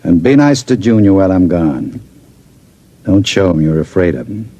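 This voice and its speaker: A ruthless radio-drama gang boss. His voice is low and mellow, with big swings in pitch. He talks down to people with smug menace. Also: sing-song, moderate pace, dry recording, lo-fi sound. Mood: smug, reassuring. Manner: smooth-talking, condescending. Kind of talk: old-time radio crime acting.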